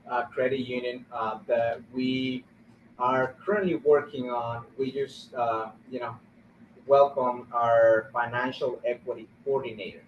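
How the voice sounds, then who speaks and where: Guy w talking on a webinar, kinda halting, muffled roomy audio. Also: medium pitch, recorded from a distance, slight background noise. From Guatemala. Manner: halting, searching for words. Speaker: community organizer on a panel. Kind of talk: informal webinar talk.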